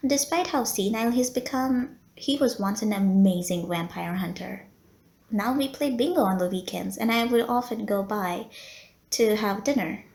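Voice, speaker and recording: A woman's voice: mid-range voice, normal speed, vocal fry, a little mellow, reverberant, lightly acted. A woman playing a polite, teasing female vampire who runs a blood bank, in an audio roleplay spoken to the listener. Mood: wistful, chatty. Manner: genteel, courteous, teasing. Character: polite, flirty female vampire running a blood bank, playful and long-suffering. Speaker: medical center receptionist.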